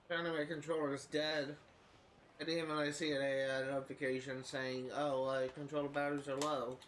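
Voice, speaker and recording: A man's voice: fairly high pitch, level tone, fairly quick, a bit bright. A man with a head cold, congested and scratchy-throated, chatting casually through his game stream with many uhs. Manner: rambling, with frequent uhs and coughs. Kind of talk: casual gameplay commentary.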